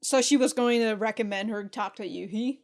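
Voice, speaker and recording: A woman hosting a reaction show, commenting casually in an animated, exclaiming way. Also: a little high, normal speed. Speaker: host of an anime reaction show.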